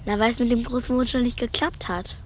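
Eager little girl played by a female actor in a kids radio play, highish voice, crickets faint behind. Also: steady tone, brisk, a little hiss or hum, natural voice. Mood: reassuring. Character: bright, caring young schoolgirl, eager and chatty. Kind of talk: German children's radio play acting.

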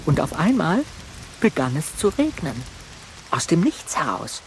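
A man narrating a children's radio play in an animated storytelling style, with a gravelly voice. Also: fairly high pitch, unhurried, background noise, exaggerated voice.

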